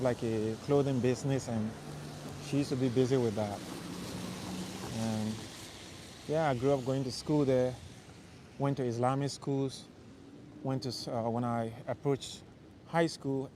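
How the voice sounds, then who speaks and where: A man's voice: mid-range voice, warm tone. A young man from Liberia, casually chatting with viewers of his live stream while walking city streets at night, rambling and answering their questions. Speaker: livestream host. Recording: faint street and traffic noise. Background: faint traffic noise.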